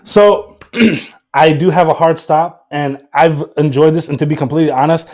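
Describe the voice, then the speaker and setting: Energetic, emphatic man, fairly high voice. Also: normal speed. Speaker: guest developer on a live stream. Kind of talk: casual live stream chat.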